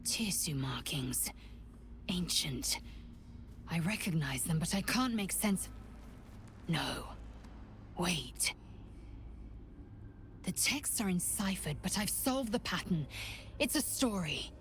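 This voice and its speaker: A woman playing a stern, proud alien warrior woman in a video game, clipped, grave and formal with a hushed, breathy edge. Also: fairly low pitch, big pitch swings, slow, pauses often, slight background noise, exaggerated voice. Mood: intent, solemn. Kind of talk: video game character dialogue.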